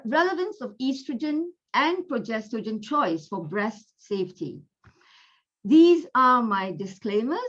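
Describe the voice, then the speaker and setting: A woman from Malaysia with a Malaysian accent, giving an online medical lecture in an unhurried, chatty way with fillers, over a video call. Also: fairly high pitch. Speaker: guest lecturer, gynecologist. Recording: dull lo-fi audio.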